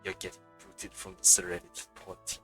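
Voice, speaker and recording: A man's voice: low voice, big pitch swings, brisk, vocal fry. A man giving casual let's play commentary in short, muttered reactions as a game streamer. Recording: faint game music behind.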